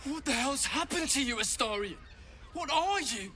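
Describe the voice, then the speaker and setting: An arrogant vampire spawn in a fantasy game. His voice is mid-pitched, tense and biting, full of bitter hunger, with faint noise behind it. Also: lively intonation, normal speed, sharp tone, heavily acted. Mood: shocked anger. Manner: scornful, biting. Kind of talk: dramatic video game voice acting.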